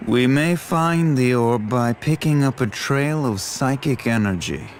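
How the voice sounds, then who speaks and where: A man acting a learned, composed video game figure who explains ancient lore in a formal, grave and measured way. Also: mid-range voice, lively intonation, slight background noise, natural voice. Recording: music behind the voice.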